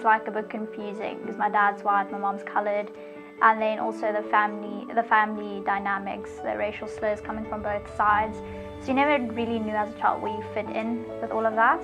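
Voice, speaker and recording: A woman's voice: medium pitch, level tone, moderate pace. A woman from South Africa speaks candidly and reflectively about her childhood in an interview. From Randfontein, South Africa. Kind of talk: personal interview. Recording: dull audio over clear music.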